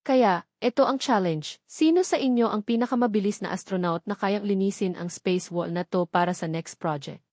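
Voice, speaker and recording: A woman voicing a gentle, patient young female nanny in an audio drama, softly coaxing and playful. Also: medium pitch, normal speed, dry recording, studio quality, close to an everyday voice. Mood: playful, encouraging. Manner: soft, coaxing.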